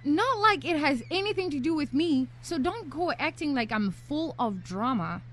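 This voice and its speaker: Girl playing sensible nice beach show girl, high voice, student radio drama, faint noise behind. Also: expressive, moderate pace, fairly bright tone. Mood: annoyed. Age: young adult. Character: easygoing young goody-two-shoes woman on reality show. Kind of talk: live student radio comedy acting. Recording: live radio studio, faint background noise.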